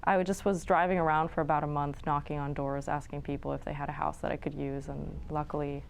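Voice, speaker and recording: Chatty young woman filmmaker, low-ish voice. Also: fast, creaky, slight background noise. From New York City and Florence. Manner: relaxed, chatty, with frequent fillers and small laughs. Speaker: filmmaker guest. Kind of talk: casual interview answers. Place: studio.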